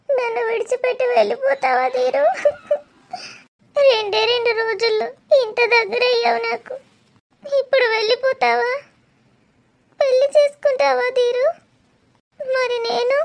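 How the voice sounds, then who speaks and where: A woman's voice: very high-pitched, a little slow, creaky, long pauses, crowd noise, exaggerated voice. A woman playing a heartbroken young married woman who pleads in a tearful, crying monologue. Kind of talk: melodramatic audio drama.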